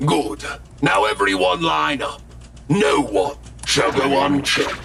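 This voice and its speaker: An actor playing a pompous, suspicious male noble who commands a spaceship crew with clipped orders, in a gravelly voice. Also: a little high, animated, takes it slowly, bright, forceful tone, full character voice. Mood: commanding, stern. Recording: music and electronic beeps behind. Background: music, beeps.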